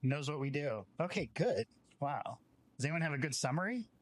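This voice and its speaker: A man's voice: fairly high pitch, animated, moderate pace. A man giving an informal welcome speech as a company representative, conversational and peppered with "like". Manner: conversational, frequent filler "like".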